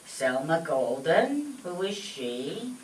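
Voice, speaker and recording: A woman's voice: low voice, sing-song, slow, recorded from a distance, full character voice. Woman playing an indignant old immigrant mother, echoey stage. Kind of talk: comic old-time radio skit acting. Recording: echoey stage recording from afar, faint noise.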